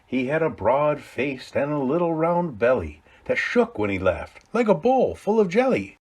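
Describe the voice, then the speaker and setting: A man reading a classic Christmas poem aloud, with dramatic swings between hushed low lines and loud calls. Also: big pitch swings, moderate pace. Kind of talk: expressive storybook read-aloud.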